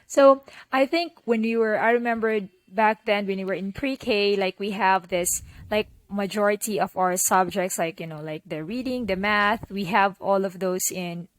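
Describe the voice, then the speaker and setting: A woman's voice: medium pitch, moderate pace, few pauses, dry recording, rough recording. A young woman from the Philippines with a Filipino accent, chatting as a podcast guest and filling her talk with "like" and "you know". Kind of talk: casual interview conversation.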